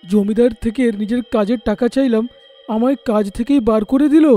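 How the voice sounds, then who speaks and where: Casting: man as rude drunken gardener for cartoon horror tale, mellow-toned, defiant, quarrelsome. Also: very high voice, normal speed, faint music behind. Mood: resentful. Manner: rude, defiant, whining for money. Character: insolent drunkard male village gardener, quarrelsome. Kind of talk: animated horror story voice acting.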